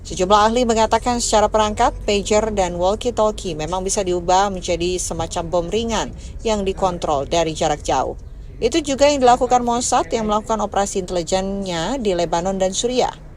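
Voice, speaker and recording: A woman reading a scripted news voice-over in a steady, formal way. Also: mid-range voice, a little hiss or hum. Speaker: news narrator.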